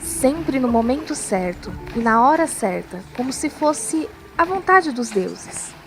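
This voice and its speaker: Woman storyteller, voice a bit high, measured audio drama narration, soft music bed behind. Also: big pitch swings.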